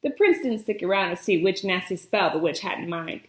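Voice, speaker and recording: A woman reading a children's picture book aloud with expressive storybook narration. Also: on the low side, a little fast, echoey room.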